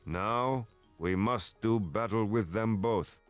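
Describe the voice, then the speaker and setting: A loyal, cautious Aztec warrior in a strategy game. He speaks low and very deliberately, with grave weight on his words and some music behind him. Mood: resolute. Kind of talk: scripted game dialogue.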